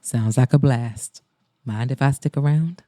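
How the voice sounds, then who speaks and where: A woman voicing an easygoing, flirtatious man with a playful, smooth-talking manner in a romance audiobook. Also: a bass voice, a little slow, mellow, no echo, studio quality, voice pushed down. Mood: teasing. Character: easygoing, teasing, flirtatious male saxophonist, adult charmer.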